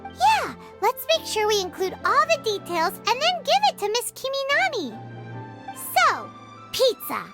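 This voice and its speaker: Super high squeaky little fairy kid, cheerful game companion, really animated, loud music behind. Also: female voice, unhurried, exaggerated voice. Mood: upbeat. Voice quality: childlike, squeaky. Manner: bubbly, speaks of herself in third person. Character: tiny cheerful female fairy-like child companion. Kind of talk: video game voice acting.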